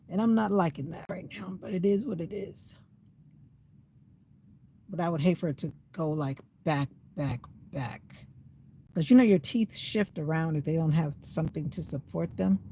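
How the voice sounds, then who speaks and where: An older woman with a slightly raspy voice rambles casually on a livestream, sitting in her parked car while it rains. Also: lowish voice, mellow, slight background noise. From USA. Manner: rambling, chatty, talking to herself. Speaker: vlogger. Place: inside a parked car in the rain.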